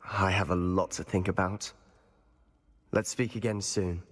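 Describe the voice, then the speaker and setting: An actor playing an earnest, troubled teenage schoolboy wizard in a video game drama, speaking with intensity. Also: male voice, deep voice, steady tone, measured pace. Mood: grave, reflective. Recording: reverberant hall, faint music.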